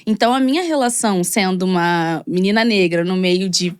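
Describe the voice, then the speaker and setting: A young woman from Rio de Janeiro with a Carioca accent, a podcast guest telling her story in a chatty, animated way full of fillers. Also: mid-range voice, brisk, clear, resonant tone, no room sound, studio quality. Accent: Carioca Brazilian Portuguese. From Rio de Janeiro, Brazil. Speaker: guest, model and content creator. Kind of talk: casual podcast conversation.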